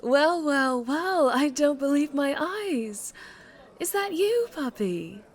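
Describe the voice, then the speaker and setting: A woman playing a charming, menacing mafia boss in an ASMR roleplay, with a sultry, teasing, unhurried drawl. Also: high voice, lively intonation, voice pushed up, full character voice.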